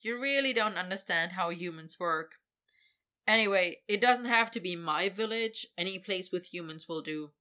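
A storyteller voices a weary human captive in an audiobook. He is dry and deadpan, stubbornly firm, with a bright, forceful edge at a middle pitch. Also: moderate pace, smooth, lightly acted. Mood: firm, exasperated.

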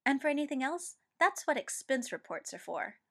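A woman's voice: sits high, big pitch swings, moderate pace, pushed and bright, voice pushed up, exaggerated voice. A woman voicing a brash, hot-tempered young man in a read-aloud story, snapping with indignant outbursts. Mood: dismissive.

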